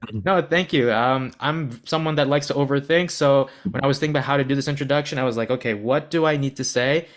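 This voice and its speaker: A man's voice: mid-range voice, fairly quick. Young American male podcast host, earnest and chatty with a mid-range voice. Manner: earnest, rambling, self-deprecating. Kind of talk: podcast interview.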